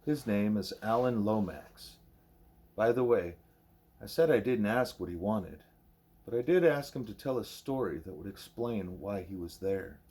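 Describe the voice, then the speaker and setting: A man reading the part of a wise, patient gorilla teacher in an audiobook, speaking in formal, measured, probing sentences. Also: lowish voice, animated, dark tone, slightly rough, heavily acted.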